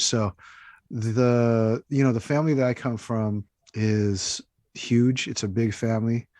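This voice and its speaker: American guy, lowish voice, dry sound, chatting thoughtfully as a guest, lots of you knows. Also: a little mellow, no room sound. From Hawaii, United States. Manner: conversational, thoughtful, many hedging asides like "you know" and "right". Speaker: interview guest, author and activist. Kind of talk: casual reflective interview talk.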